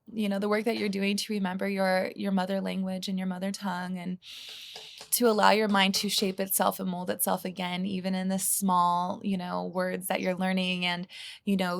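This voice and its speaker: A woman's voice: medium pitch, creaky. A woman guest on a podcast, speaking in an earnest, reflective way and often saying "you know". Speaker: guest, healer and psychedelic guide. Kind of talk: podcast conversation.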